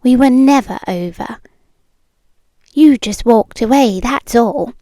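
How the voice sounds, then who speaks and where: Slow, high-voiced British yandere girlfriend, acted by a woman. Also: dry recording, clean studio sound. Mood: insistent, bitter. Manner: slow, deliberate, softly menacing, condescending. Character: possessive yandere ex-girlfriend, eerily calm, obsessive, cooing. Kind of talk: intimate ASMR roleplay, partly whispered. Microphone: close microphone, dry.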